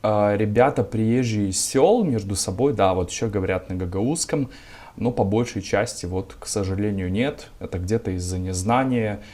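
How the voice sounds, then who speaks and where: A man's voice: lowish voice, warm tone. Earnest young man making his case. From Gagauzia, Moldova. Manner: measured, argumentative, frequent filler words. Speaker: civic activist guest. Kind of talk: earnest interview answers.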